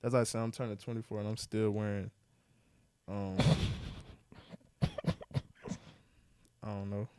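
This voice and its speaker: Low-voiced young man, rambling chat. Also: little pitch movement, warm tone, clear, resonant tone, no room sound. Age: 20s. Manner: rambling, halting, many fillers and restarts, says bro. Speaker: podcast co-host. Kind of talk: casual reflective chat.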